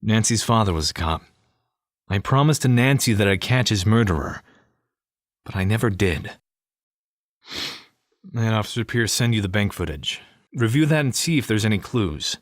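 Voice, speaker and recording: A man acting as a gruff, guarded police detective burdened by grief in an audio crime drama. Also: on the low side, lively intonation, moderate pace, long pauses, no room sound, clean studio sound, close to an everyday voice. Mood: stern.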